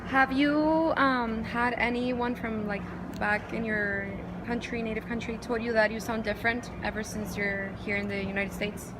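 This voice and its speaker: A woman moderating a focus group, asking questions in soft, brief prompts. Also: fairly high pitch, little pitch movement, moderate pace, hardly any pauses. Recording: background noise.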